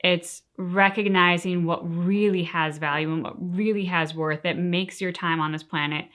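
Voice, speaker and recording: A woman's voice: on the low side, steady tone, normal speed, a bit bright. A woman co-hosting a reaction show, talking casually and reflectively in an earnest, thinking-aloud manner.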